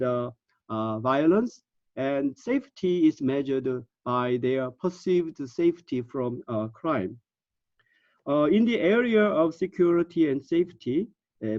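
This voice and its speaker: A man giving an academic conference presentation over a video call, halting often with filler sounds. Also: fairly high pitch, dry recording, lo-fi sound.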